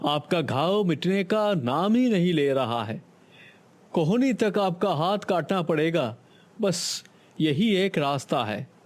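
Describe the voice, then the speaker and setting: A man playing a grave high-voiced doctor. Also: animated, slow. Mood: hushed. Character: grave male village doctor. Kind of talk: Hindi cartoon voice acting.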